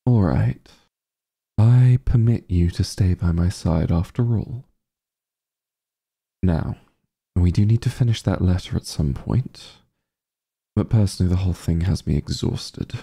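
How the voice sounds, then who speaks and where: An evil sorcerer king, acted by a man for an ASMR roleplay, vain and openly disdainful. His voice is dark and mellow, heavily creaky, and he drawls slowly with pompous, sardonic exasperation. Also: low-pitched, heavily acted. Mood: grudging, musing. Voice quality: gravelly, breathy.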